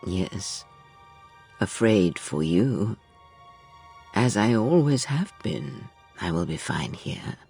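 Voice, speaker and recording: An older woman playing a weary, cryptic and manipulative mentor in a video game, speaking in slow, solemn measured phrases. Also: very deep voice, very animated, warm tone, breathy edge, faint music behind, heavily acted. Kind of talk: video game dramatic acting.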